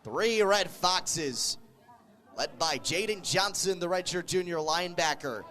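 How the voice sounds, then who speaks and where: A man with a high-pitched voice gives live radio play-by-play of American football. He speaks as the announcer, following each movement on the field in short, vivid, clipped phrases. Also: a little slow, pushed and bright. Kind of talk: live sports play-by-play commentary.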